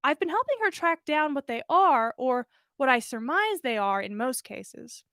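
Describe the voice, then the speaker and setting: Storybook male herbologist read by a woman: earnest, wordy, courteous, high-pitched, close dry sound. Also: very animated, normal speed, pushed and bright, dry recording, full character voice. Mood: sheepish, keen. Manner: formal, wordy, polite. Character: earnest, bookish, absent-minded adult male herbologist.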